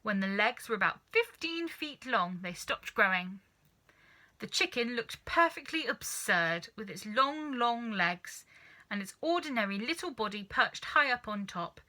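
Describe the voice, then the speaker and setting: A woman reading a children's story aloud in an expressive, deliberate narrating style. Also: fairly high pitch. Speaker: storybook reader.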